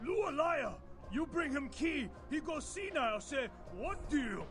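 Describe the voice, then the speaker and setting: A man playing an excitable, scheming Chinese fortune teller and hitman in a video game, mocking and exclaiming in accented broken English. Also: extremely high pitch, unhurried, over music, exaggerated voice. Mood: indignant, scheming. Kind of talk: video game character acting.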